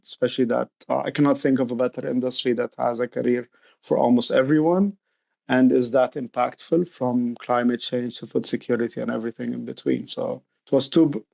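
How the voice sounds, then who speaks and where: Middle-aged man with creaky voice. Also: medium pitch, a little mellow. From Egypt. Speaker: youth program director, interview guest. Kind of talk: conversational interview explanation.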